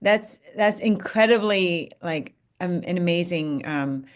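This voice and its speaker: A woman's voice: lowish voice, takes it slowly. Earnest rambling woman on a call. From Virginia, United States. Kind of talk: casual interview over video call. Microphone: video call.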